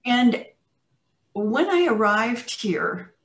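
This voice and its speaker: An older woman with an American accent speaks thoughtfully and unhurriedly, pausing and restarting as she recounts her experiences. Her webcam sits some way off, so her voice carries a roomy echo, like a call from a home room. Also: a little high, sing-song, a bit bright. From United States. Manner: measured, thoughtful, with pauses and restarts. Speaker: interview guest. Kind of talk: reflective storytelling on a video call. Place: home room with plants, indoors. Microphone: video call webcam. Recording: roomy video-call audio from a distant mic.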